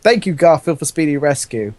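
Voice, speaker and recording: Young female alien fighter male reader, earnest, melodramatic, higher male register. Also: sing-song, fairly quick, a little mellow, dry recording. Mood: grateful, resolute.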